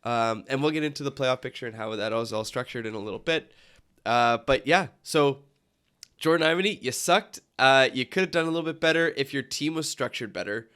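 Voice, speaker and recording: A man's voice: mid-range voice, a little fast, a bit bright, clear, resonant tone. A young man with a cold and a stuffy nose, hosting a casual sports podcast and rambling with many fillers and restarts. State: congested from a cold. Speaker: podcast host.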